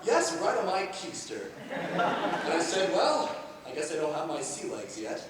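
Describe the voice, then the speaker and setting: A man's voice: a little high, sing-song, a little slow, very noisy. A man on a school stage playing a dizzy, lovestruck young groom in a blindfold, earnest and goofy, talking and crooning in a stage musical. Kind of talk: stage musical acting and singing. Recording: echoey auditorium from far off, loud pit band.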